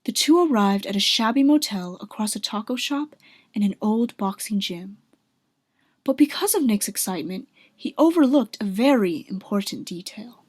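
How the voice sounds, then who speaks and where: Female radio-play narrator: scripted storytelling, mid pitch, husky and breathy. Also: animated, normal speed, dry recording.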